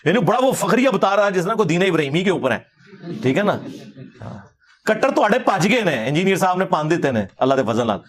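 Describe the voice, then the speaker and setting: A man's voice: high voice, big pitch swings. A man with a Pakistani Punjabi accent giving an informal religious lecture in an emphatic, argumentative, rhetorical way.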